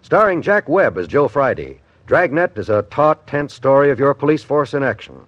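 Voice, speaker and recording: A man's voice: mid-range voice, very animated, moderate pace, no room sound. A man with an American accent, a radio announcer reading network promos in 1950s style. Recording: dull, dry old radio recording.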